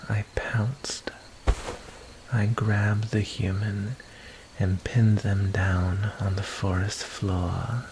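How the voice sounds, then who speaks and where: Man voicing a slow, hushed, gravelly predatory vampire. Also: sits low, slight background noise, exaggerated voice, rough recording. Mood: hungry, savoring. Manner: slow, deliberate, hushed delivery slipping into breathy whispers. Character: predatory vampire hunter, calm, menacing, toying with prey. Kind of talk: ASMR narrative roleplay, scripted first-person narration.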